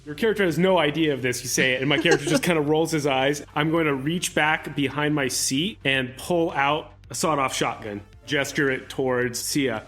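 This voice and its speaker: A man's voice: fairly high pitch, normal speed, hardly any pauses, a bit bright, faint music behind. A man playing a tabletop roleplaying game, describing his character's actions in a dry, matter-of-fact way.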